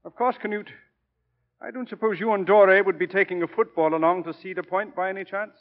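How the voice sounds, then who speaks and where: An actor playing a kindly, wise priest and college mentor in a 1940s radio drama. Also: male voice, high-pitched, steady tone, fairly quick. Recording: tinny, narrow 1940 radio recording.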